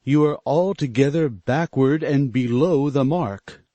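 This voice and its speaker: A pompous older mill-owner who lectures in stiff, measured sentences, coldly practical even when he means to be kind, as a male reader puts him on. The voice sits at an ordinary middle pitch, and the recording is dry with no room around it. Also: no echo, close to an everyday voice. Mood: stern.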